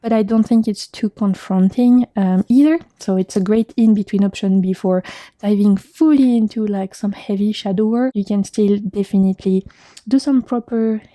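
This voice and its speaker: A woman from France with a French accent hosts a casual video chat. Her voice is very dark and relaxed, at a medium pitch, in a dry room. She talks with gentle enthusiasm, often in short emphatic phrases. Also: normal speed, dry recording. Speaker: video host reviewing card decks.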